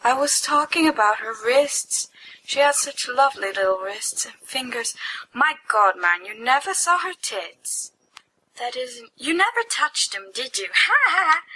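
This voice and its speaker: A woman's voice: high voice, bright, forceful tone, breathy edge, rough recording. A woman reading fiction aloud as an amateur, expressively and playfully acting out the dialogue of giddy young men. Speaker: amateur podfic reader. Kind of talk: animated dramatized fiction reading with character voices. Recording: home recording with faint background noise.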